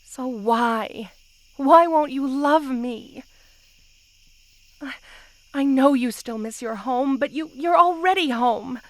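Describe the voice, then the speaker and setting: A woman's voice: sits high, no room sound. A woman playing a possessive, lovesick snake queen in an intimate roleplay ASMR, speaking tenderly with sighs. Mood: desperate, insistent. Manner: slow, tender, sighing, possessive delivery.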